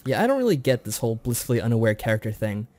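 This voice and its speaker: A man co-hosting a casual review chat, talking in a measured, mild-mannered way. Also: medium pitch, big pitch swings, warm tone, no room sound. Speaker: podcast co-host.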